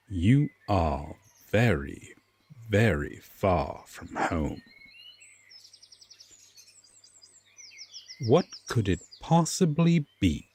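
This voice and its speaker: Guy doing a dark fae king, low voice that swings around a lot, condescending and breathy, fantasy roleplay audio. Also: full character voice. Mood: condescending, curious. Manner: deliberate, commanding, drawn-out pauses, condescending. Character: haughty, dark, reluctantly protective male fae king. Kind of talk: slow, dramatic roleplay audio acting.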